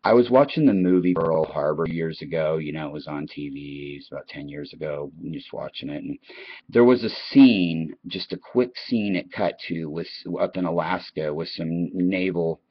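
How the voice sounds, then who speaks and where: A man's voice: rumbling low, moderate pace, husky, dry recording. A middle-aged American man chatting and reminiscing to his listeners in a casual, rambling, anecdotal way that often circles back. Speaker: online channel host. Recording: dull, lo-fi, dry recording.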